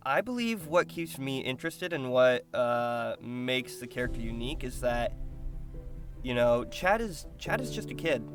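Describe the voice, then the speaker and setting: A man with a medium-pitched voice gives casual interview answers about his acting. He is thoughtful and hesitant, often pausing for the right word. Guitar music is clearly audible behind him. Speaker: voice actor being interviewed.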